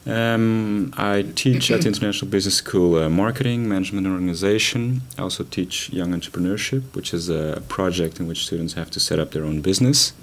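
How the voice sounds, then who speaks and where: A man from Portugal, raised in the Netherlands, a lecturer speaking in a relaxed way with many fillers. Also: low voice, fairly quick, hardly any pauses.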